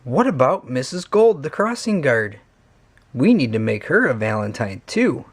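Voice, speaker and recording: A man's voice: medium pitch, sing-song, normal speed, slightly rough. A man voicing an earnest, easily worried young male cat from a children's storybook, expressively. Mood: eager.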